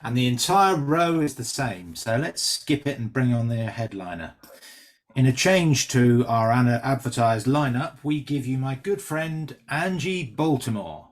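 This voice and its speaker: A man playing a brash, quick-witted stand-up comedian with sardonic, teasing banter in a script reading. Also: mid-range voice, lively intonation, lightly acted. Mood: cocky teasing.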